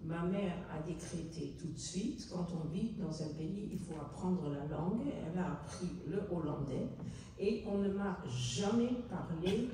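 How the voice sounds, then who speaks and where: A woman's voice: fairly low pitch, animated, mellow. Older woman in an echoey hall. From Netherlands. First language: Dutch. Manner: halting, searching for words, earnest. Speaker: author and guest. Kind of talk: public book talk. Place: large hall at a city hall book fair. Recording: echoey hall from far off, faint noise.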